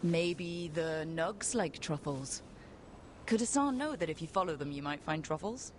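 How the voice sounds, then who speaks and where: A woman's voice: fairly low pitch, lively intonation, a little slow, darkish tone, slight background noise. An actress playing a resolute, wry and plain-spoken female adventuring leader in a video game. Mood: light, encouraging. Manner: direct, wry, down-to-earth. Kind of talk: video game cinematic acting.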